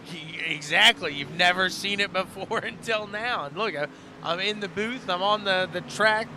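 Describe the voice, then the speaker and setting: A man calling a live dirt-track race as the track announcer, animated and repeating phrases for emphasis. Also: high-pitched, moderate pace. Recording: loud engine and track noise. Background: race cars and outdoor track noise.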